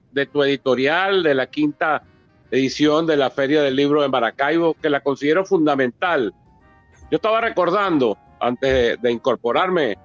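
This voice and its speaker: High-pitched older Venezuelan man, dull audio. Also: a bit bright, dry recording. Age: 60s. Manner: discursive, with frequent asides and repeated words. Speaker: author presenting his book. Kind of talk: public book presentation speech. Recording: dull lo-fi audio, music behind.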